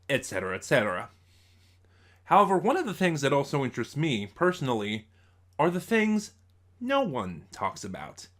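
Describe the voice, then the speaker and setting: A man hosting a casual spoken review, talking in a halting way with trailing phrases and emphatic stresses. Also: medium pitch, a bit bright. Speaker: reviewer and channel host.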